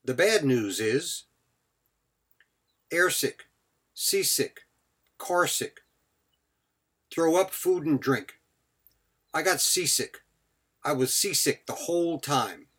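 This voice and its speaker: A man's voice: fairly high pitch, lively intonation, slow pace, long pauses, fairly bright tone, breathy edge. A man giving a scripted English vocabulary lesson as a teacher, speaking in short, clipped phrases followed by example sentences.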